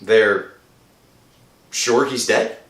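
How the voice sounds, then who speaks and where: Man acting a slow, anguished, haunted husband. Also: on the low side, steady tone, a bit bright, slight background noise. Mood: uneasy. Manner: halting, agitated, dramatic. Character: anguished, guilt-ridden husband haunted by nightmares. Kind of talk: old-time radio horror acting. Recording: echoey room, faint music behind.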